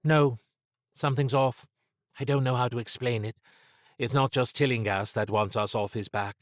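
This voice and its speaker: A man's voice: medium pitch, fairly even intonation, moderate pace, dry recording, clean studio sound, voice pushed up, natural voice. A man voicing a wry, wary woman secret agent with clipped understatement in a noir audiobook. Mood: uneasy, confiding. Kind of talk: audiobook character dialogue.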